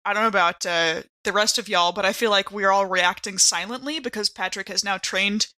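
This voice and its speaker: Bright-voiced woman in casual chat. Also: medium pitch, fairly quick, dry recording. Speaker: podcast player.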